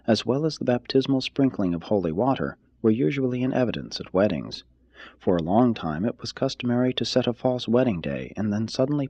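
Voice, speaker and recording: A man reading a scripted expository text aloud like an audiobook, in a formal, measured manner. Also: fairly low pitch, a little mellow, slightly rough, no echo, highs cut off. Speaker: reader, narrator.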